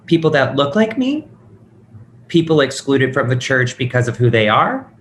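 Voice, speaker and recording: A man's voice: medium pitch, very animated, normal speed, fairly bright tone. Wounded, confrontational challenger played by a man, mid-range voice, dramatic table read with faint background noise. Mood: hurt, confrontational. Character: hurt, outspoken transgender person confronting a preacher.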